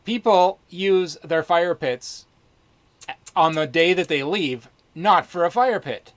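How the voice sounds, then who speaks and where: Chatty man with a high-leaning voice. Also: slow, fairly bright tone. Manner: chatty, emphatic, jokes along. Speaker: co-host and workamper. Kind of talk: casual live-stream chat.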